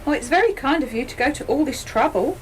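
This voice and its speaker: A woman's voice: sits high, animated, fast talker. A woman acting a friendly, fussing lady train passenger in an amateur radio play, polite and full of exclamations. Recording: noisy community radio studio recording.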